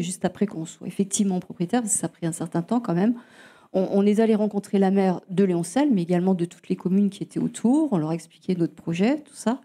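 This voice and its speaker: Mellow-voiced hesitant woman. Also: medium pitch. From Alsace, France. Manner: hesitant, many fillers and restarts, storytelling. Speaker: guest, nature charity representative. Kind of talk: unscripted interview answers.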